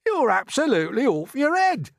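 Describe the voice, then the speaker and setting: Storybook earthworm, acted by a man: indignant, stubborn, high-pitched, wildly expressive. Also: moderate pace, creaky, no echo, studio quality, full character voice. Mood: scornful. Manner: exclaiming, stammering protests.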